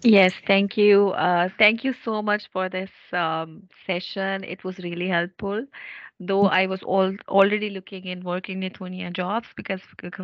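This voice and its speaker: Brief: woman, mid pitch, hesitant questioner, dry and dull video-call audio. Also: dry recording. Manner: hesitant, many fillers and restarts. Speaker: student asking a question. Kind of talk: question in online seminar.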